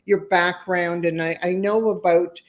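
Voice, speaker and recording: A woman hosting a casual live-stream interview, talking warmly and effusively with many fillers and restarts. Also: lowish voice, steady tone, a little slow. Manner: warm, effusive, rambling with fillers. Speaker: host.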